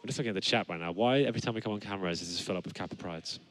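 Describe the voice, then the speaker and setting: A young man casting an esports match from a studio on a headset mic, his voice still raspy from a flu. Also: low-pitched, rapid-fire. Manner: rises to excited calls on big plays. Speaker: esports caster. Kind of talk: play-by-play commentary. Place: studio set with gaming stations.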